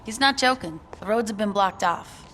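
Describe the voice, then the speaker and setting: A laid-back young gang member, voiced by a woman, casual and teasing. Her voice is mid-pitched, with faint noise behind it. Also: normal speed, fairly bright tone, close to an everyday voice.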